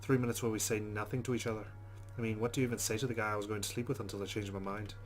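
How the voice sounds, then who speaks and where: A man's voice: lowish voice, flat delivery, very fast, soft background music, close to an everyday voice. A man reading the first-person thoughts of a rueful young Irish woman in a visual novel, with a put-on Irish accent. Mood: wry.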